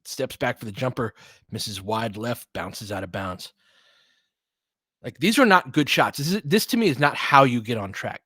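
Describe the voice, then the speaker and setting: A man's voice: medium pitch, lively intonation, normal speed, bright, forceful tone, no room sound. A man with a mid-range voice hosts a live basketball call in a casual, chatty way. He mixes play-by-play with opinionated asides. The sound is dry, with very little room on it. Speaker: play-by-play commentator and host. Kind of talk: casual live basketball commentary with fan chat.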